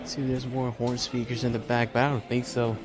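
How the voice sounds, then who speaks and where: A man's voice: mid-range voice, monotone, a little fast, a crowd in the background. A man giving a rambling, off-the-cuff walkthrough commentary inside a large hardware store, talking like an enthusiastic hobbyist filming for a video. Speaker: amateur video maker. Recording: store music playing behind.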